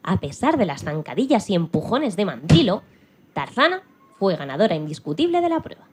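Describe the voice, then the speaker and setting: A woman's voice: mid-range voice, very animated, a little fast. A woman narrating a dramatised children's story as the storyteller, with faint noise on the recording.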